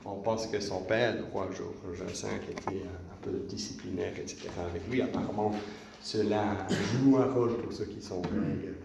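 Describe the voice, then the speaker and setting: A man giving a relaxed, chatty sermon, telling a story to the congregation in a church. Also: fairly low pitch, moderate pace, creaky, background noise. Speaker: preacher. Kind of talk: conversational sermon, retelling a film. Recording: echoey church from a distance.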